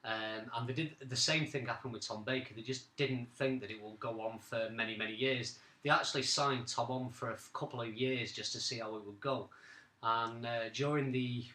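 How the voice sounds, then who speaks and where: A man's voice: medium pitch, fairly even intonation, moderate pace, pushed and bright. A man of about forty co-hosting a podcast, reminiscing in casual conversation. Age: late 30s to early 40s. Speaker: podcast co-host. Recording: roomy, echoing amateur recording.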